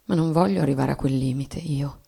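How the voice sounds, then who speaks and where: An actress playing a weary, melancholy wife and mother in a theatrical radio play reading, pensive and sinking into breathy murmurs. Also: extremely low pitch, a little fast, dark tone, full character voice. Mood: pensive, anguished.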